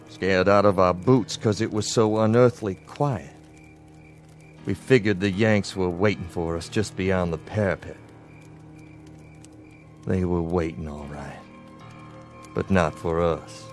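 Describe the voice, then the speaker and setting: An actor playing a shaken Confederate soldier, telling his story in a halting, awed way in an old-time radio drama. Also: low-pitched. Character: shaken Confederate soldier, middle-aged man. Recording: old radio recording with music.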